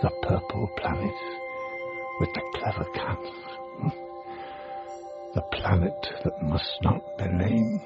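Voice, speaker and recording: A man's voice: low-pitched, takes it slowly, vocal fry, long pauses, heavily acted. An actor playing an earnest, eccentric father who is secretly an alien envoy, speaking formally in radio drama reports. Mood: hushed, mysterious. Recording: muffled band-limited recording under music.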